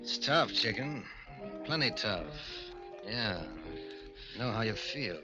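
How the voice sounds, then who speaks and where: A man's voice: fairly low pitch, expressive, slow pace. An actor playing a slick, persuasive American gangster boss in an old-time radio crime drama, using slangy, cajoling tough-guy talk. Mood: sympathetic. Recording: muffled vintage radio recording, organ music.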